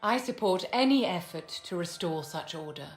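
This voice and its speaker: An actress playing a poised, aristocratic mage in a video game, speaking in a measured, courtly and haughty manner. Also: female voice, lowish voice, expressive, lightly acted. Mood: poised, assured. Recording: reverberant hall with music underneath.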